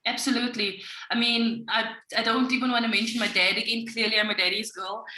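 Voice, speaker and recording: Bright, lively woman in an echoey room. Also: a little high, a little fast. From Africa. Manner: lively, animated storyteller with bursts of speed. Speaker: co-host of a women's panel. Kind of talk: casual panel chat.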